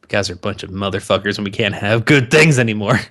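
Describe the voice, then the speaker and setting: A man's voice: on the low side, fast, a bit bright. A man co-hosting a podcast in casual banter, joking and ranting with plenty of swearing. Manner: profane, jokey ranting. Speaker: podcast co-host.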